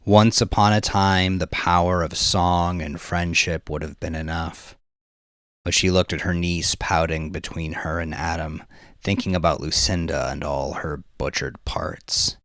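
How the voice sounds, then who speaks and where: A man's voice: very deep voice, fairly even intonation, unhurried, gravelly, a bit bright, dry recording, clean studio sound. A man narrating a story as a dramatic scripted audiobook reading.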